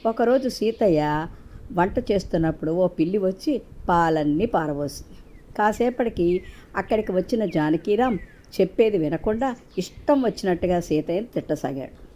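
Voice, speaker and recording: Mellow, lowish-voiced woman storyteller. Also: lively intonation, moderate pace, slight background noise. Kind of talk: scripted moral-story narration.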